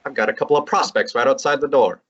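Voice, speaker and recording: A wisecracking, exasperated young male assistant, played by a student. The tone is a little husky, heard through a dull lo-fi recording. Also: medium pitch, fast, fairly bright tone. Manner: pleading, wisecracking, insistent. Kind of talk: student radio drama.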